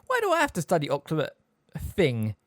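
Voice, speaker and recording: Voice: mid-range voice, huge pitch swings, normal speed, a little mellow, no echo, studio quality, pitched above the performer's own voice. A narrator voicing an impulsive teenage schoolboy in a storybook, blurting things out in a questioning, indignant way. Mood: baffled, indignant.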